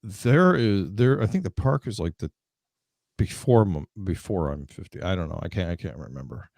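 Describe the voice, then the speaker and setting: A man nearing fifty, a guest on a casual live stream, talking haltingly with many fillers. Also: low voice, animated, vocal fry, a little mellow, dry recording, clean studio sound. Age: 40s.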